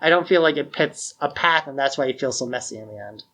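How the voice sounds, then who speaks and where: A man's voice: medium pitch, quick pace, sharp tone. Opinionated man co-hosting a casual podcast. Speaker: podcast co-host. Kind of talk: casual film discussion.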